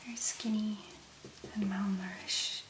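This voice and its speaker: A woman speaking very softly and breathily, in a gentle, unhurried ASMR make-up tutorial style. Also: medium pitch, steady tone, roomy sound, noisy background. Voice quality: breathy, whispery. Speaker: ASMR video creator.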